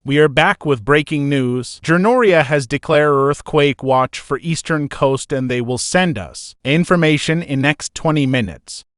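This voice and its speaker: A man's voice: a little high, measured pace, smooth, no room sound, clean studio sound. A man presenting mock breaking news as anchor and interviewer, formal and polite with non-native phrasing.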